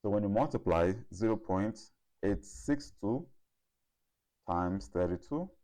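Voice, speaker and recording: A man giving a step-by-step lesson as a tutor, working deliberately through problems and repeating figures as he goes. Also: on the low side, lively intonation, mellow. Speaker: chemistry tutor.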